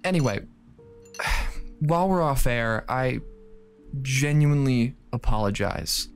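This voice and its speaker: A man's voice: mid-range voice, animated, takes it slowly, clear, resonant tone, faint music behind. An actor playing a young male radio host, off air and speaking in an earnest, thoughtful, slightly wry way. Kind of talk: audio drama acting.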